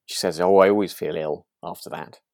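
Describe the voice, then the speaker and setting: Guy co-hosting a podcast, deep voice, casual n a bit halting, dry audio. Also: steady tone, creaky, darkish tone, no room sound. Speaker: podcast co-host. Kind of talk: casual chat.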